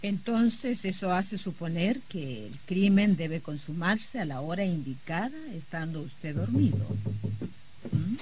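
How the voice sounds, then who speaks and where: A man's voice: high voice, expressive, measured pace, a little mellow. An actor playing a skeptical, probing man with a formal, pointed manner in an old radio drama. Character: skeptical, probing man challenging his host. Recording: faintly noisy vintage radio recording.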